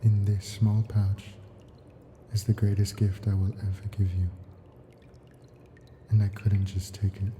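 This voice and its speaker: A man's voice: fairly low pitch, flat delivery, a little slow, long pauses, dark tone, a little hiss or hum, lightly acted. A man voicing an ancient, possessive dragon captor in an ASMR fantasy roleplay, coaxing and imperious in formal storybook words. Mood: patient, persuasive.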